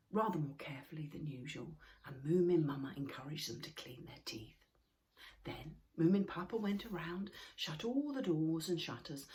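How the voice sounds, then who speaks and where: A woman narrating a children's story expressively and deliberately, sometimes dropping into a hush. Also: fairly low pitch. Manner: expressive, deliberate, with hushed passages.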